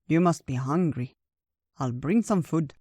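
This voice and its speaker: A storyteller voicing a wise, gentle old male bear in a theatrical, drawn-out way for a children's tale. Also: medium pitch, expressive, no echo, studio quality. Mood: hospitable, teasing. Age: older.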